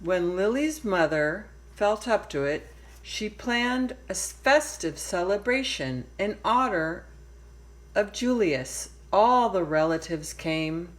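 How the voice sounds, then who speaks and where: A woman reading a children's storybook aloud as narrator, expressive and deliberate. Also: medium pitch, poor audio. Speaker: storybook reader.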